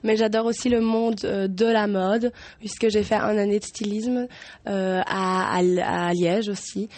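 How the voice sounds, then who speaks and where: A woman's voice: medium pitch, steady tone, unhurried, a little mellow. A woman in her twenties, a pageant candidate whose first language is German, answering interview questions in a studio in hesitant speech full of restarts and fillers. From German-speaking Belgium. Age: 20s.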